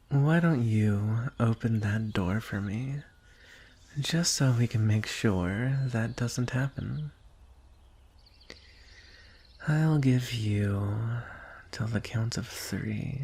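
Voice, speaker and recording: A man's voice: mid-range voice, creaky, slightly rough, full character voice. A man voicing a teasing, coaxing dragon with a smug streak of menace, in a fantasy ASMR roleplay. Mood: softly menacing. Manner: slow, coaxing, softly threatening.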